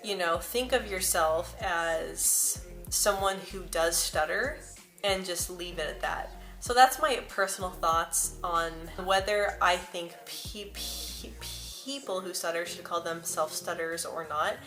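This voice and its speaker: Young woman with a stutter, bright voice. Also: on the low side, a little slow. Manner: frequent stutter with repeated sounds and words; earnest and personal. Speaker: vlogger. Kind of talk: casual talk to camera. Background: soft background music.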